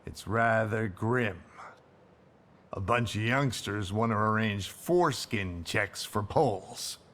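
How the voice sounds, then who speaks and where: An actor playing a blunt, sardonic grumbler in a video game, gruff and irreverent. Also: male voice, on the low side, takes it slowly, a bit bright. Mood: wry. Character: sardonic, grumbling, blunt man in old Warsaw. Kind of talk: video game cutscene acting.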